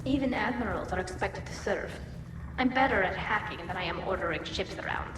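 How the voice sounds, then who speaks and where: A woman's voice: mid-range voice, fairly quick, close to an everyday voice. A woman playing a capable, earnest young alien engineer and admiral in a video game. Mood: wry, matter-of-fact. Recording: roomy reverb, distant, noisy battle ambience.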